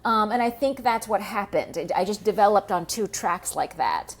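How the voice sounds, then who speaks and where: A woman comedian hosting a casual comedic panel chat, talking quickly and swearing freely. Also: mid-range voice, expressive, slightly rough. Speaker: comedian and podcast host.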